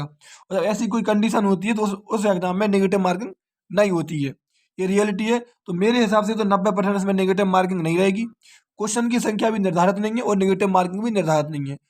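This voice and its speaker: High male voice, coaching teacher, informal explanatory delivery, repeats key points, question-and-answer phrasing. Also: darkish tone. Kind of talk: informal lecture on exam details.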